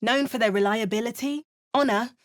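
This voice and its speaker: An actress voicing a sardonic female adventurer in a game, delivering dry, sarcastic quips and calm narration. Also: a little high, brisk, no echo, close to an everyday voice. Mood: wry. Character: sardonic adult female adventurer, wry and unflappable. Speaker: player character voice actor.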